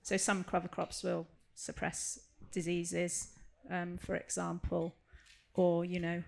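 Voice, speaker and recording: A woman speaking as an academic expert on a panel, giving a measured explanation with careful qualifications. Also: fairly low pitch, completely flat delivery, creaky, darkish tone. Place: live agricultural conference panel session.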